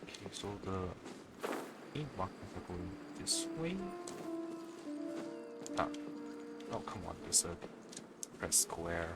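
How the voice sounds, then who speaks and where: A man's voice: sits low, lively intonation, slow, long pauses. A man doing casual let's play commentary as a game streamer, muttering to himself as he goes. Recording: stream with loud game music over voice. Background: loud game music.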